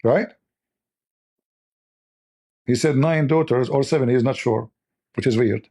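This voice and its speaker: A man with a voice worn hoarse, giving scornful, lecturing commentary on religious texts in non-native English. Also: mid-range voice, a little slow, mellow. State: losing his voice. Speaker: religious debate host. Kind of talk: polemical commentary reading texts.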